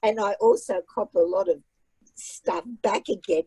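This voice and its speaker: A chatty woman author talks over a video call, her voice mellow and a little husky. She learned English as a second language and leans on repeated phrases for emphasis. The sound is dry and close. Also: mid-range voice, unhurried, dry recording, low-quality recording. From migrated to Australia at age nine. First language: not English. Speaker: author and guest speaker. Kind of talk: casual online library talk.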